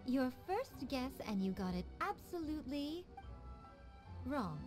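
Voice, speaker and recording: An actress playing a teasing, regal fox-eared priestess who runs a publishing house, in a game. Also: female voice, high-pitched, sing-song, music in the background. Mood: sly. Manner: teasing, smooth and unhurried. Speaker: chief editor. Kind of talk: game cutscene voice acting.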